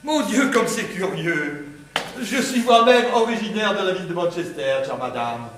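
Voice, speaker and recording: A man playing an overly polite English gentleman from Manchester in an amateur stage play, with formal, halting courtesy. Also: high-pitched, lively intonation, a little hiss or hum. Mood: courteous. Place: theatre stage before an audience. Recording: very echoey hall, distant mic.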